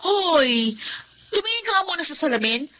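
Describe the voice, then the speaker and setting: A woman acting a brash, jealous young woman in a radio drama, mocking and quick to insult. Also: high voice, sing-song, sharp tone, heavily acted. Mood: taunting.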